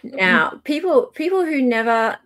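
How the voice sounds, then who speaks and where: A woman's voice: high-pitched, very animated, a little slow, a crowd in the background. A woman hosting a casual livestream, gushing and repeating words for emphasis. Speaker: livestream host.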